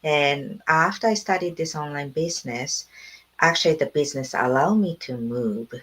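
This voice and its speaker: Low-voiced middle-aged woman from Japan. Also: moderate pace. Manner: soft-spoken, laughs often, simple phrasing. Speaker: interview guest, online business owner. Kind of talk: casual video interview.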